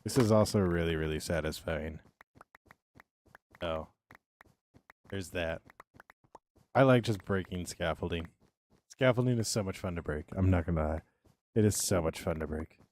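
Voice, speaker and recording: A man's voice: sits low, normal speed, long pauses, darkish tone. A man in his thirties streaming a building game, chatting to himself and his viewers, humming filler syllables and repeating words as he works. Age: 30s. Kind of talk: casual live-stream commentary while building in a game.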